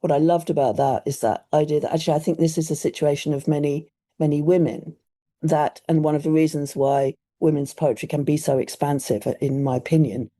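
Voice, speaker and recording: A woman with a British accent hosting a poetry podcast, thoughtful and measured as she discusses poems. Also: sits low, warm tone. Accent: British English. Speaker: podcast host. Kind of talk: conversational podcast chat.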